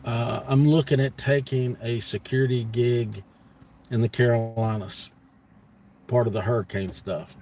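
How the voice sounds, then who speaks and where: A man in his fifties rambles casually to an online audience in a mid-pitched voice. He is blunt and folksy, leaning on repetition to press his point. Steady vehicle-like ambient noise sits behind him. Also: measured pace. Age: 50s. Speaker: livestream host. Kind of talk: casual rambling monologue to viewers.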